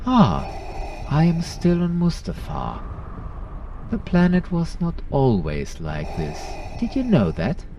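Slow, wildly swooping ancient Sith spirit. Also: mid-range voice, huge pitch swings, mellow, recorded from a distance, slight background noise, heavily acted. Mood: sly, probing. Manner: slow, drawn-out, theatrical. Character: ancient Sith Lord spirit speaking through a mask, sinister, tempting. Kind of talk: audio drama villain acting.

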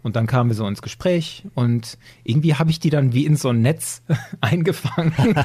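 Male podcast host, medium pitch, slightly rough-edged voice, casual and full of enthusiasm. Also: moderate pace, warm tone. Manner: enthusiastic, appreciative. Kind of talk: casual chat.

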